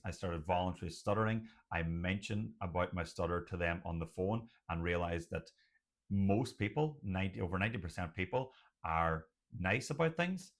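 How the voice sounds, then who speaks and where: A middle-aged man who has a stutter, speaking earnestly and emphatically to viewers in short, measured phrases, with a motivational tone. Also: deep voice, a bit bright. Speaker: doctor sharing personal experience of stuttering. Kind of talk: motivational personal talk to viewers.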